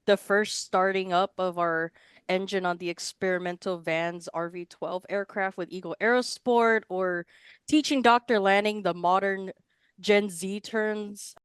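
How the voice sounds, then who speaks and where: A woman's voice: mid-range voice, moderate pace, smooth, dry recording. A woman in her twenties from Hawaii, chatting casually as a podcast guest and rambling freely with many fillers. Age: 20s. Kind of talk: casual interview answers.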